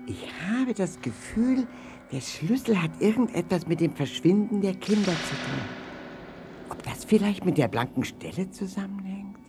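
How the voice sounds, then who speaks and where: A man's voice: fairly high pitch, mellow. A man playing a thoughtful, curious doctor in a children's audio play, pondering aloud with musing hums. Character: thoughtful, curious male doctor, leader of animal friends.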